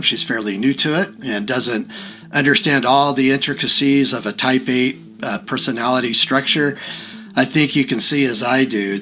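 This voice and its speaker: A man's voice: medium pitch, pushed and bright. A man hosting a conversational podcast, affirming his guest and explaining points to listeners. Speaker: podcast host. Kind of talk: conversational interviewing and wrap-up commentary.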